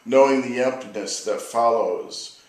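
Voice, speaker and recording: An American man speaking as a poet at a live reading, with measured, deliberate phrasing. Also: medium pitch, a little mellow. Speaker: poet reading own work. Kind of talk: live poetry reading with informal spoken introduction. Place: reverberant room at a live reading. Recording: echoey live room, distant microphone.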